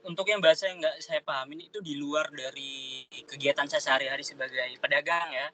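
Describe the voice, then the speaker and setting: A young man, a trader, answering interview questions in a relaxed, slangy, chatty way. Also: fairly high pitch, brisk, a little hiss or hum, rough recording. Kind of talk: casual interview chat.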